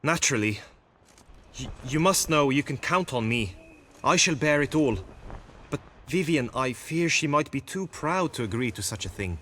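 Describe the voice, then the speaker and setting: An actor playing an earnest, anxious, gallant knight in a video game, with formal and florid courtly speech. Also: male voice, mid-range voice, lively intonation, normal speed, fairly bright tone, slight background noise, natural voice. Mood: earnest, resolute. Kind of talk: dramatic video game acting.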